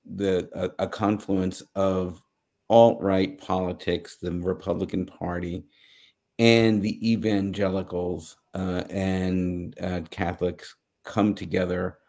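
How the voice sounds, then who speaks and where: A middle-aged American man giving news commentary on a video call, halting and searching for words. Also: sits low, little pitch movement, mellow. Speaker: panelist. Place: small home office, indoors.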